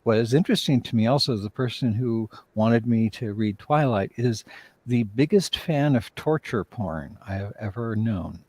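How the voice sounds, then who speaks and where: A man with a husky voice speaks in a dry, close-miked sound. He makes measured, wry remarks in a casual interview. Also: mid-range voice, darkish tone, dry recording. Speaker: writer and director.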